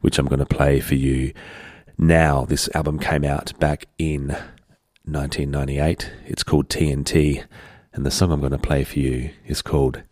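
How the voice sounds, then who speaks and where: A man hosting a community radio music show, relaxed and rambling with lots of fillers, sounding congested from a head cold. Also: rumbling low, moderate pace, dry recording, clean studio sound. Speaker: radio host. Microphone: studio broadcast mic.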